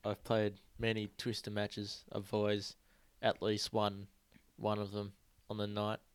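Man with a deep, relaxing voice. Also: monotone, unhurried, smooth, no room sound. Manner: joking, teasing banter. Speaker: podcast host. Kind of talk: casual chat.

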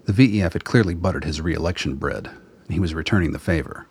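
Extremely low-pitched man, husky, scripted storytelling, radio play narrator. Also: fast, a little mellow. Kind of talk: scripted audio drama narration.

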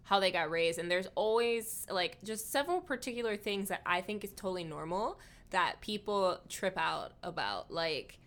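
A woman's voice: medium pitch, lively intonation, moderate pace, few pauses, fairly bright tone. A woman hosting a podcast interview in a casual, chatty way, often saying "like" as she goes. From Hawaii. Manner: informal, chatty, frequent "like". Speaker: podcast host interviewing a guest.

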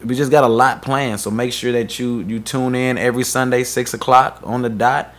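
A man co-hosting a relaxed podcast. He talks up the season's guests and pages in a loose, halting way, full of fillers and restarts. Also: medium pitch. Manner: hyped promo talk with many fillers and restarts. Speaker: podcast co-host. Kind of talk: casual podcast chat.

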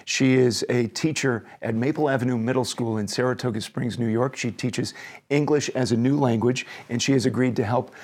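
A man with an American accent hosting an interview, asking questions in a gentle, carefully phrased way. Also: medium pitch, steady tone, brisk. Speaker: interview host.

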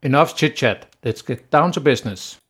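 A man from Denmark with a Danish accent, hosting a solo business podcast in a steady, plain way with frequent "uh" pauses. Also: medium pitch, slightly rough, a faint crowd. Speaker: podcast host.

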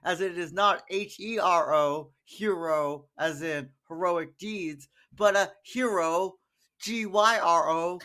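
Bright, wry male podcast co-host. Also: high-pitched, slow. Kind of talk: animated episode recap with co-host.

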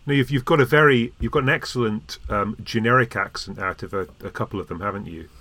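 A man's voice: lowish voice, fast talker, sharp tone. A man discussing on a podcast, often restarting his words but articulate. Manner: stammering restarts, articulate and appreciative.

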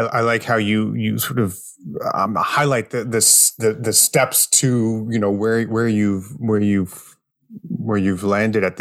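A man hosting an interview podcast, speaking in a measured, deliberate way. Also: on the low side, no echo, studio quality. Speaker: podcast host interviewing a guest. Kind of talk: podcast introduction and conversational interview.

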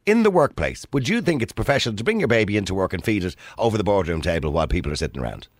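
A man's voice: fairly low pitch, sing-song, very fast, no echo. An Irish man hosting a radio phone-in in a studio, debating conversationally, probing and cutting in. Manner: argumentative, interrupting and probing.

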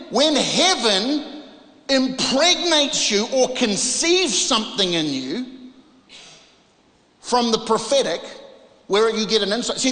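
A man from New Zealand with a New Zealand accent preaches an emphatic, informal charismatic sermon, using dramatic pauses and rhetorical questions. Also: high-pitched, bright, forceful tone. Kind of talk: charismatic sermon, part exhortation and part Bible reading. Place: large reverberant room, preaching to a congregation. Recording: echoey, reverberant large room.

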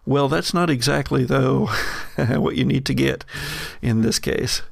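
A man narrating an improvised horror tabletop game as game master, halting and pausing mid-sentence to build suspense. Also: medium pitch, gravelly, a little hiss or hum.

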